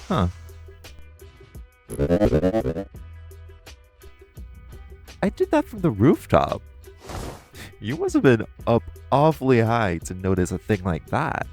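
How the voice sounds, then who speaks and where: A man's voice: lowish voice, darkish tone, exaggerated voice. A man playing a flirty, cocky supervillain master thief in an audio roleplay, teasing and theatrical. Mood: curious, amused. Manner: teasing, theatrical, purring, chuckling. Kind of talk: audio roleplay acting, intimate monologue to listener.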